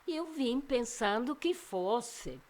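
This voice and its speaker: A woman's voice: fairly high pitch, lively intonation, slow, darkish tone. An older woman from Argentina with an Argentine Spanish accent, a psychologist chatting as an interview guest, talking thoughtfully and restarting phrases as she searches for words. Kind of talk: casual interview chat.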